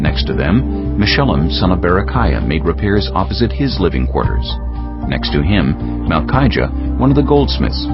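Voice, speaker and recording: A man reading scripture as an audiobook narrator, solemn and measured, with deliberate pauses. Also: deep voice, muffled recording. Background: prominent background music.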